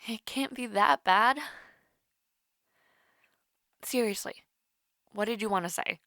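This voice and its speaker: A woman playing a nervous, smitten young woman in an intimate romantic audio roleplay, halting and flustered. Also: medium pitch, pushed and bright, no echo, clean studio sound. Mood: bewildered, insistent. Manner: halting, flustered, breathy sighs and whispers.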